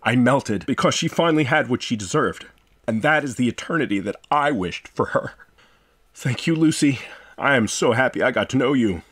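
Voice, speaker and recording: Impassioned, expressive man reviewing a game. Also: mid-range voice, moderate pace, slightly rough. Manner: impassioned, theatrical, profane ranting mixed with sentimental reflection. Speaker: video host, game reviewer. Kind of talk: scripted review commentary.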